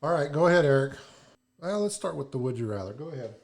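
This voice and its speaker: A man hosting a casual podcast chat, loud and booming, who laughs often while asking the questions. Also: lively intonation, fast. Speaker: podcast host.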